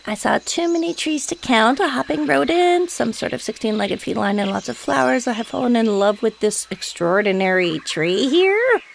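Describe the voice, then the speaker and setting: Woman as a high-pitched, meek space crew member. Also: lively intonation, moderate pace, gravelly, slight background noise. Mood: searching, dismayed. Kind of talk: scripted audio drama acting.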